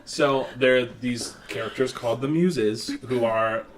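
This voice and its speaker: Man co-host, animated and giggly, sits back from the mic in an echoey room. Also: medium pitch, unhurried, crowd noise. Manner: animated, laughs often, many fillers. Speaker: podcast co-host. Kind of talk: casual chat. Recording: roomy, distant mic.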